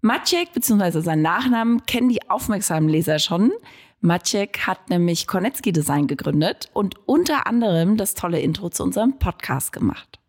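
A woman's voice: on the low side, lively intonation, a little fast, breathy edge. A woman hosting a podcast in a studio, reading an intro and then interviewing guests in a friendly way that explains things for listeners. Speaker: podcast host.

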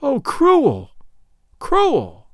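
A man's voice: high-pitched, wildly swooping pitch, takes it slowly, no echo, clean studio sound, full character voice. Man doing a trembling young woman's plea. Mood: pleading. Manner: trembling, lamenting. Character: young terrified woman pleading for life.

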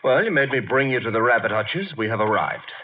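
A man's voice: medium pitch, expressive, fairly quick, close to an everyday voice. Male actor as flippant detective's assistant, mid-range voice, wry vintage radio patter, muffled recording. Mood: breezy. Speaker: supporting actor in detective drama. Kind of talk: 1950s radio detective drama acting. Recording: dull, noisy old radio recording.

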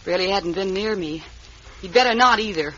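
A woman's voice: medium pitch, moderate pace, fairly bright tone, lightly acted. An actress playing a grieving but spirited young woman with an American accent in an old radio western. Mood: grieving, indignant. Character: grieving, spirited young daughter of slain miner. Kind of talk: 1950s radio western acting. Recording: dull, hissy old radio recording.

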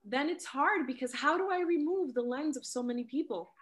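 A woman's voice: sits high, fairly quick. A woman in her late thirties co-hosting a casual podcast, earnestly summing up and building on her partner's points. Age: late 30s. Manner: earnest, summarizing and building on the other speaker's points. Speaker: podcast co-host. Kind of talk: casual personal chat.

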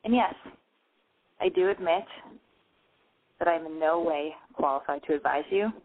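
A woman's voice: deep voice, normal speed. Woman with a lisp, tinny phone sound. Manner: rambling, self-deprecating, excitable. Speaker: radio show host. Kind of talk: casual call-in show monologue.

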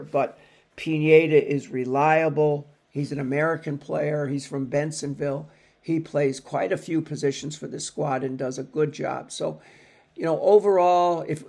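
A man's voice: fairly high pitch, vocal fry, a little mellow. A man giving a rambling, self-correcting take on his soccer team's latest match as a podcast contributor. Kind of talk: casual sports commentary.

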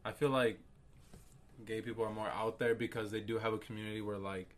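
A man co-hosting a podcast in casual chat, easygoing and thinking out loud. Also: fairly low pitch, level tone, brisk. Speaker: podcast co-host.

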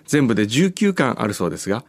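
A man's voice: medium pitch, big pitch swings, fast talker, no room sound. A man hosting a radio show, chatting casually and reading out messages from listeners.

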